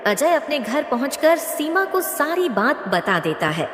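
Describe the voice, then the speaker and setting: A woman's voice: high-pitched, very animated, measured pace, fairly bright tone. A woman narrating a scripted cartoon moral story as its storyteller. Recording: echoey, long reverb.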